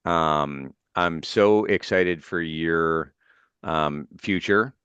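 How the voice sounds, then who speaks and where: An American man hosting informally as a student adviser, talking in a halting, appreciative way. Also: low voice, fairly bright tone, clear, resonant tone, no room sound, muffled recording. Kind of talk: informal spoken introduction and questions.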